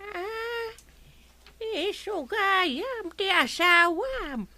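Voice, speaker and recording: A shrill, piercing old aunt in a radio comedy, drawling slowly and sounding bright and pointed. She is blunt and suspicious, and her teasing lands hard. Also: animated, exaggerated voice. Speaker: visiting aunt.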